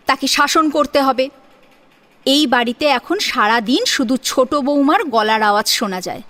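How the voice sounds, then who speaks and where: A woman narrating a scripted audio story in an expressive, dramatic, rhetorical manner. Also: sits high. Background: music.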